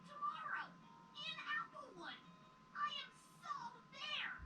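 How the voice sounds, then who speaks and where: A woman voicing an excitable young female pony in an animated-style audio drama. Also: extremely high pitch, slow pace, heavily acted. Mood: excited. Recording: distant, echoey playback with music behind.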